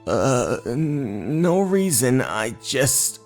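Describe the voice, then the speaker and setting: A man's voice: mid-range voice, very animated, extremely slow, full character voice. Man playing a stammering, anxious younger man. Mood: nervous, hesitant. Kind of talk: horror manga dub. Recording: voice over background music.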